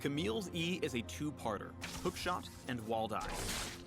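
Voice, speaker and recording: A man reading scripted promotional narration for a game tutorial, explaining things crisply with wry touches. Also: medium pitch, very animated, unhurried. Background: music under the voice.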